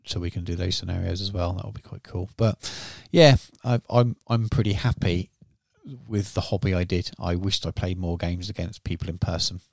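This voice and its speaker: A man with a very deep, gravelly voice rambles through a casual podcast chat, trailing off and restarting his sentences. His voice sounds close and dry, with no room around it. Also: steady tone, normal speed, dry recording. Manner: rambling, many ums and restarts. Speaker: podcast co-host.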